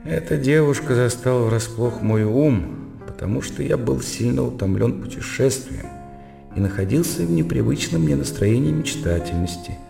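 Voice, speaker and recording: An actor playing a cerebral, self-controlled gentleman who muses analytically in a radio drama inner monologue. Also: medium pitch, expressive, moderate pace, creaky. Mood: uneasy reflection. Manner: musing, analytical. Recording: cavernous long reverb, music behind.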